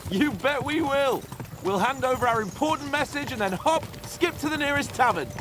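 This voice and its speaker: An actor voicing a haughty, high-spirited young nobleman in a video game, with a flippant, teasing manner. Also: expressive, normal speed, noisy background. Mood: excited, boastful.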